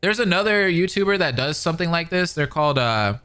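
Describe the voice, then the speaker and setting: Bright-voiced American man streaming casually. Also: a little high, expressive, a little fast, smooth, soft background music. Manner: slangy, laid-back, conversational. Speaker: streamer, reaction commentator. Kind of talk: casual livestream chat with viewers, reading out comments.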